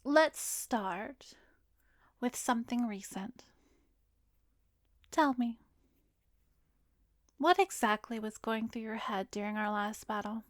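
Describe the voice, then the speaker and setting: Woman playing a slow-speaking, haughty vampire seductress. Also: a little high, fairly even intonation, no echo, studio quality. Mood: teasing, commanding. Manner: haughty, teasing, drawn-out delivery with breathy whispers. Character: arrogant, seductive female vampire posing as a therapist. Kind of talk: roleplay ASMR, slow hypnotic close-up acting.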